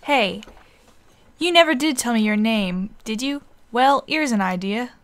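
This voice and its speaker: A woman's voice: fairly high pitch, lively intonation, unhurried. A woman voicing a chatty, friendly travelling merchant from a fantasy game, with folksy speech in a put-on accent. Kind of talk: reading game dialogue aloud in character. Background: game music.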